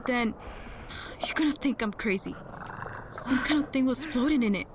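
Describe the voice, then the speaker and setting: Woman acting a dazed shaken woman in an audio drama, higher voice, halting, loud ambient effects behind her. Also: background noise, lightly acted. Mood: dazed, uneasy. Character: dazed shaken woman recounting a strange encounter. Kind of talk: audio drama dialogue, confessional recounting. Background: loud ambient sound design.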